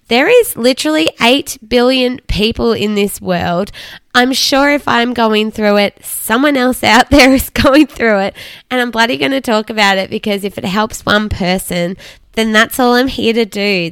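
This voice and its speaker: A woman in her thirties, hoarse and raspy from a flu, chatting candidly as a podcast host and laughing often. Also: mid-range voice, fairly bright tone, no echo, studio quality. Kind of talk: casual solo podcast talk.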